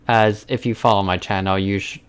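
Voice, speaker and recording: A man's voice: fairly low pitch, fairly even intonation, fairly quick, clear, resonant tone. A man chatting casually on a live stream as he works, sometimes muttering to himself. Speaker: streamer, game developer. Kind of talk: casual live stream chat while drawing.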